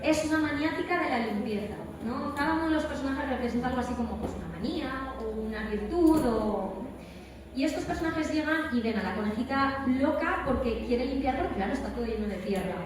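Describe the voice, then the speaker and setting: A woman's voice: high voice, expressive, brisk. A woman with an accent from Spain speaking on a live panel, emphatic and repeating words for stress as she tells anecdotes and gives advice. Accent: Spanish from Spain. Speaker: voice actress, guest speaker. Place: large echoey event hall at a convention. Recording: echoey hall, distant mic, crowd noise. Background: crowd chatter.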